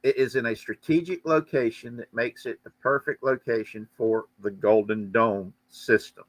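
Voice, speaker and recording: A man's voice: medium pitch, normal speed, no room sound. A man hosting a livestream, chatting casually and giving commentary in a rambling, joking way. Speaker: livestream host. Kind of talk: casual chat and political commentary.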